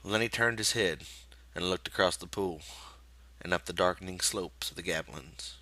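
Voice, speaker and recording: A man reading a novel aloud as dramatic, measured, suspenseful scripted narration. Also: sits low, pushed and bright. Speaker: audiobook reader.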